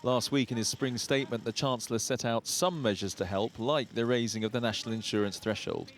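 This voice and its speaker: A man's voice: mid-range voice, a little fast, slight background noise. A man with a British accent delivering scripted narration as a television news reporter.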